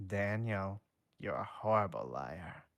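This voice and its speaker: A man voicing a calm, formal adult male schoolteacher who speaks in a measured, matter-of-fact way. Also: low-pitched, gravelly, no echo, clean studio sound, full character voice. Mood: dry.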